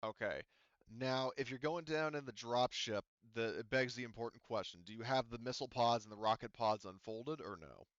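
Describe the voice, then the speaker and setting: A man running a tabletop roleplaying game as game master, casually narrating and thinking aloud in a halting way. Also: medium pitch, a bit bright, dry recording, muffled recording.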